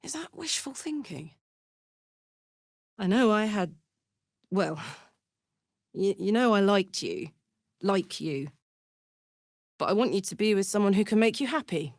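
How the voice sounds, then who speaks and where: A woman's voice: mid-range voice, animated, unhurried, pauses often, no echo, studio quality. A woman voicing a brash, big-hearted, hot-tempered warrior in a fantasy video game, speaking bluntly, informally and with open emotion. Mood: tender, hurt.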